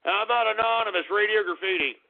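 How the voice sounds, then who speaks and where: A man's voice: high voice, fast talker, gravelly. A man ranting as a call-in radio host, exasperated, repetitive and profane. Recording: tinny phone-like, band-limited sound.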